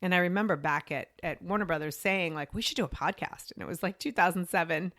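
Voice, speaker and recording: A woman in her forties, a podcast guest, telling her career story in a chatty, animated way and acting out what others said to her. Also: fairly low pitch, fast, no room sound, clean studio sound. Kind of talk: conversational interview storytelling.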